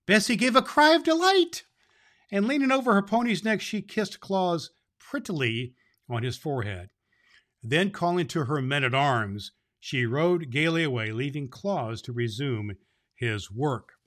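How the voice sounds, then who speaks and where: A man's voice: a little high, animated, measured pace, a bit bright. A man in the role of Santa Claus, hosting and telling stories to children with affectionate, emphatic repeats. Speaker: host playing Santa Claus, reading to children. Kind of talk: children's storytelling and show hosting.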